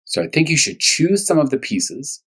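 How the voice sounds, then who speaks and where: A man speaking as a first grade teacher at storytime, talking to young children in simple, short, repeated phrases, with a husky voice. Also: a little high, very animated, moderate pace, a bit bright. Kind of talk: storytime read-aloud and discussion for young children.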